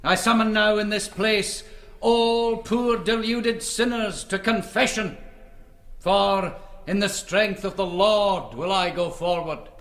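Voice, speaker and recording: An actor playing a righteous, defiant young Scottish Presbyterian minister in a radio drama, declaiming in biblical oratory. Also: male voice, high-pitched, takes it slowly, a bit bright, full character voice. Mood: stern, fervent. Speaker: preacher. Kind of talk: radio drama sermon and exhortation.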